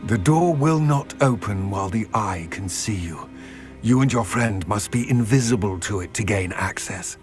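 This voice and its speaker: A man's voice: lowish voice, expressive, normal speed, lightly acted. An actor playing a stern, wary male goblin in a fantasy game, speaking formally and measuredly. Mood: stern, guarded. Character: grave, wary adult male goblin, mistrustful of wizards. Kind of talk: fantasy video game dialogue. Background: background music.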